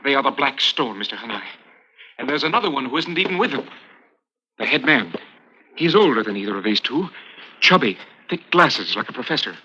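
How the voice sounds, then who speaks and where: Hunted american spy, really sharp forceful voice, urgent, old muffled radio suspense show. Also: male voice, medium pitch, very animated, a little fast, long pauses, slightly rough, heavily acted. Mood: tense, secretive. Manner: intense, urgent, emphatic. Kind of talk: 1950s radio suspense acting. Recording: muffled 1950s radio recording, music behind.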